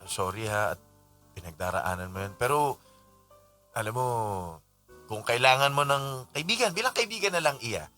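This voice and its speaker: Man acting an animated, lovestruck ex-boyfriend. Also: medium pitch, moderate pace, long pauses, faint music behind. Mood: earnest, pining.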